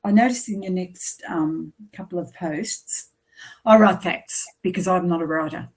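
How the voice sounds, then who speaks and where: A woman hosting a casual interview, affirming her guest often with quick yeahs. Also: lowish voice, low-quality recording. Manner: warm affirming, frequent yeahs. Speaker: podcast host.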